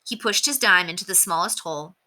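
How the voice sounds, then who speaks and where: A woman's voice: fairly low pitch, brisk, sharp tone. A woman reading a children's chapter book aloud as a storyteller, in scripted narration.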